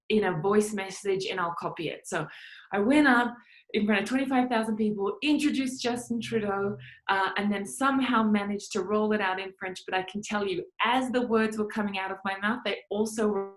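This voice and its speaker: Aussie woman as interview guest, medium pitch, chatty and expressive, really stresses her points. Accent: Australian. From Australia. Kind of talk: conversational interview storytelling and advice.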